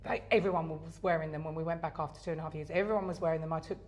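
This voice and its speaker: A middle-aged woman with a Southern English accent chats on a podcast, her voice sitting a little low. She rambles through anecdotes, filling pauses with "um" and "like" and restarting her sentences. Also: steady tone, a little mellow. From England. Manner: chatty storyteller with frequent fillers and restarts. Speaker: podcast co-host. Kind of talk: casual chat.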